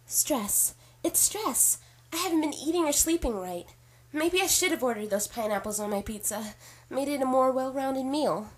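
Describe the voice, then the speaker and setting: A woman's voice: sits high, lively intonation, normal speed, breathy edge. A woman playing a stressed, skeptical heir in an audio drama, incredulous and defiant in manner. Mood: anxious disbelief. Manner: incredulous, combative, sarcastic.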